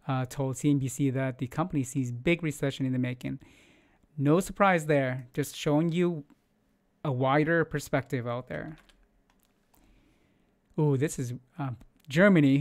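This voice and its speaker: A man in his forties hosting market news in a casual, loose way, with many fillers and restarts, speaking English as a second language from a home studio on a close mic. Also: mid-range voice. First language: not English. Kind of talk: casual screen-share news commentary.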